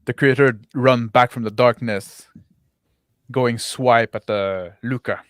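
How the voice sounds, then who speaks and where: A man narrating an improvised tabletop game as game master, piecing his descriptions together haltingly. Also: medium pitch, fairly even intonation, smooth, no room sound, studio quality.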